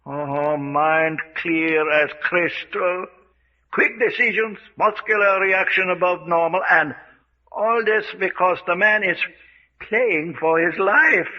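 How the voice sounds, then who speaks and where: An actor playing a glib, coldly fanatical scientist with a pompous, precise manner in an old radio mystery serial. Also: male voice, high-pitched, takes it slowly. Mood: gleeful relish. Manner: pompous, precise, chuckling over grim matters. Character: glib, coldly fanatical male scientist, relishing fear experiments. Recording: dull lo-fi old radio recording.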